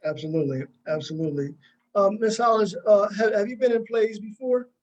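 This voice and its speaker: A man's voice: high-pitched, a little fast, darkish tone, lo-fi sound. A man hosting a casual group interview, speaking in an encouraging, supportive way. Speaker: host leading a cast discussion.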